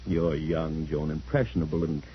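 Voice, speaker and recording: An actor playing a wealthy, wronged father, brooding but softening, in an old-time radio drama. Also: a bass voice, steady tone, moderate pace, breathy edge, muffled recording, exaggerated voice. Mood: probing, reassuring.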